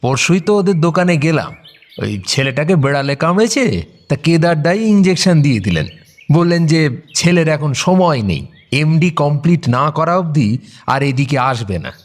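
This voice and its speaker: A man acts as a nosy customer at the barber's in a radio play. He is chatty and inquisitive, with a slightly high, lively voice. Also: normal speed, natural voice. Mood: curious, gossipy.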